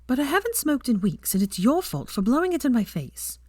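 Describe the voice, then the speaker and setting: A woman voicing a sharp, easily exasperated young woman with an emphatic, scolding way of questioning, in an audiobook-style story reading. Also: high-pitched, big pitch swings, brisk, dry recording, studio quality, voice pushed up. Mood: matter-of-fact, defensive.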